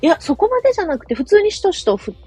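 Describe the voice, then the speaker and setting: A woman's voice: very high-pitched, very animated, very fast, dark tone, faint music behind. A woman chatting casually as a guest on a live talk, explaining things in a conversational way. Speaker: guest storyteller giving picture-book writing advice. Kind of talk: casual chat.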